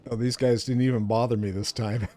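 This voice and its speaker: A man's voice: mid-range voice, little pitch movement, a little fast, mellow. A man co-hosting a gaming show, chatting casually and thinking aloud as he plays. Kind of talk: casual gameplay chat.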